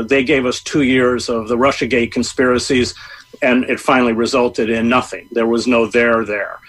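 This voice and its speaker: Measured middle-aged man with many pauses. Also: medium pitch, fairly bright tone, rough recording. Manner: measured, frequent hesitations. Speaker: interview guest, journalist. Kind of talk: interview answer, political commentary.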